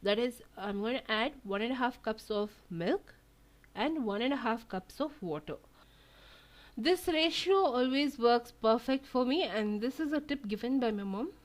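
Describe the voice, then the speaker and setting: A woman's voice: on the high side, normal speed. Chatty woman explaining a recipe. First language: Tamil. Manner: relaxed, chatty, many fillers. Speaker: cooking channel host. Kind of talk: home cooking tutorial voice-over. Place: home kitchen.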